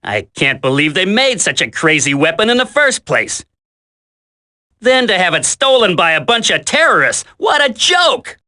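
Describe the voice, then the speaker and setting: A cocky young soldier in a video game. His bright, fairly high voice swings up and down with brash sarcasm. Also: sing-song, normal speed, full character voice. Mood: incredulous scorn. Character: cocky, outspoken young male special forces soldier.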